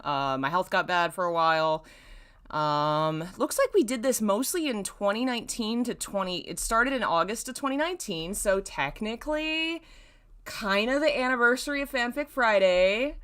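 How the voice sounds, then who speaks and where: A woman's voice: medium pitch, lively intonation, moderate pace, a bit bright, clear, resonant tone. A woman hosting a casual livestream, talking in a rambling way and often interrupting herself. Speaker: livestream host. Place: indoors in a dim room.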